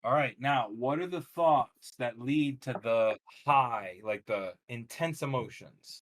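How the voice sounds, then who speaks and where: A man hosting a live coaching call, talking casually and acting out thoughts with sudden loud bursts. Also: mid-range voice, slow. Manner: energetic, acts out his own thoughts in a loud excited voice.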